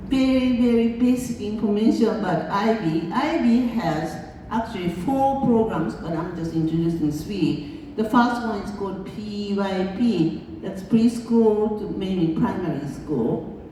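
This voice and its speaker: A Japanese woman, speaking English as a second language, gives a slow, chatty conference lecture and laughs along as she talks. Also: mid-range voice, mellow, a little hiss or hum. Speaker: international school board chair giving a lecture. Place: large conference hall. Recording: echoey hall, voice heard from far off.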